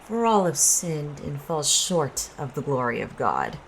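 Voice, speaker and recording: A woman acts out a cold, murderous female schemer in an audio drama. Her very low voice stays calm and commanding as she persuades. Faint watery noise sits behind it. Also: unhurried, heavily acted, low-quality recording. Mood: solemn.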